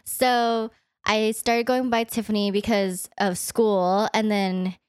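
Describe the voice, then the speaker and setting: A young woman whose first language is Vietnamese talks in a loose, chatty way, leaning on "like" as she tells stories. Her pitch sits in a middle range for a woman. The sound is close and dry, with no room echo. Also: flat delivery, slow pace, clean tone. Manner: chatty storyteller, many "like" fillers. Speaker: guest. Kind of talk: casual podcast chat.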